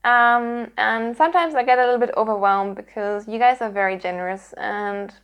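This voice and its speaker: A woman's voice: on the high side, moderate pace, clear, resonant tone, lo-fi sound. A woman hosting her own podcast, chatting casually to her audience in a rambly, earnest way. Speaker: podcast host. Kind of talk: casual chatty vlog to viewers.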